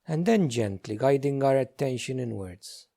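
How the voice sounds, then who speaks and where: A man leading a guided meditation as a teacher, speaking gently in short, unhurried phrases with many pauses. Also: mid-range voice, expressive, creaky.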